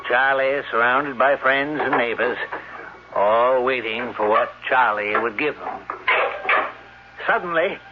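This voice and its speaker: Radio storyteller, played by a man: shrewd small-town type, slow and suspenseful, slightly dull vintage sound. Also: medium pitch, noisy background, lightly acted. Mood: suspenseful, grim. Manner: deliberate, suspenseful, explanatory. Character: shrewd, unhurried townsman telling a grim tale. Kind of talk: old-time radio drama storytelling. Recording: dull old radio recording.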